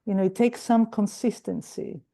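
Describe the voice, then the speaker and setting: Woman guest speaker, mellow and a little raspy from a cold, earnest, hesitant delivery over a video call. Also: mid-range voice, fairly even intonation, normal speed. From Greece. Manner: warm, earnest, with frequent hesitations and restarts. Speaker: guest speaker at a recovery meeting. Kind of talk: personal sharing talk.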